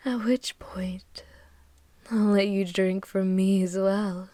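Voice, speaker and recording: A woman playing an ancient, possessive vampire mistress in an intimate ASMR roleplay, speaking softly and teasingly with frequent drops into a whisper, close to the microphone. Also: medium pitch, steady tone, dry recording, close to an everyday voice. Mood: tender, teasing. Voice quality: soft, breathy, often dropping to whispers. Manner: slow, teasing, haughty, commanding. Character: ancient, possessive, ruthless yet seductive female vampire mistress. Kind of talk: intimate ASMR audio roleplay, monologue to listener.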